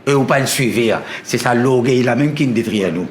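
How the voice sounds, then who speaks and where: A man's voice: medium pitch, fairly quick, echoey room. A man giving an impassioned speech about identity, declaiming and piling up lists of phrases.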